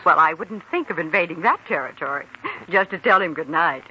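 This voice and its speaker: A woman's voice: on the low side, animated, brisk, dull audio, natural voice. An actress playing an affectionate, considerate young woman engaged to an intern in a 1940s radio drama. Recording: thin, noisy 1940s radio recording.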